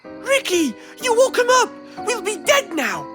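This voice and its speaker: A woman's voice: very high-pitched, wildly swooping pitch, a little slow, heavily acted. A woman voicing a reluctant, grumpy, cowardly boy in a children's cartoon, grudging and a little whiny, with music behind. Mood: panicked.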